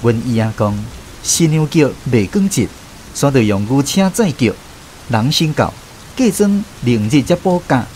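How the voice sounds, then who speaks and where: A man's voice: mid-range voice, animated, a little slow, darkish tone, a little hiss or hum, poor audio. A man with a Taiwanese accent narrates a radio drama as a first-person storyteller.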